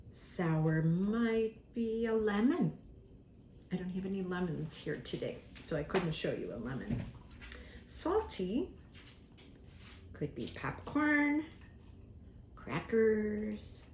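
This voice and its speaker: A woman giving a simple lesson to young children, speaking deliberately, repeating key words and acting out examples. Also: on the low side. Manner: animated, acts out examples with props. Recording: echoey room with faint background noise.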